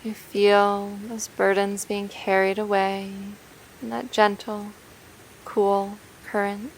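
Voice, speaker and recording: A woman leads a guided meditation at a slow pace with gentle, soothing delivery. Her pitch stays very even from phrase to phrase. A soft, steady hiss sits behind the voice. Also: poor audio. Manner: soothing, gentle, unhurried with long pauses.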